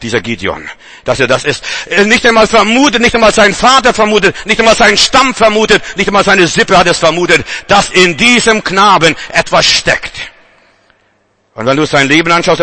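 High, bright-voiced German preacher. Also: male voice. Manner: emphatic, repetitive preaching with rhetorical refrains. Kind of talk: charismatic sermon.

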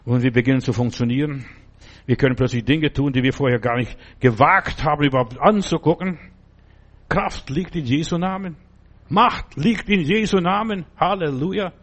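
A man's voice: fairly high pitch, moderate pace. Fervent man preaching. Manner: emphatic, fervent, exclaims names loudly. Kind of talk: sermon and prayer.

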